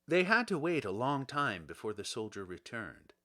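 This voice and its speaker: Bright-voiced male storybook narrator. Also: medium pitch, sing-song, normal speed. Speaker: audiobook reader.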